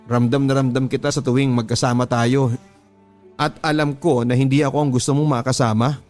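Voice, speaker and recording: Guy acting as devoted filipino boyfriend, radio drama, plaintive and sincere, mid pitch, music behind. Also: level tone, brisk, a little mellow, natural voice. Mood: hurt, plaintive. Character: devoted, wounded young boyfriend, earnest and doubting.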